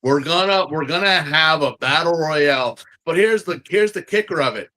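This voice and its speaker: A man hosting a casual podcast review, animated and slangy, repeating phrases for emphasis. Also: a little high, normal speed. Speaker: podcast host.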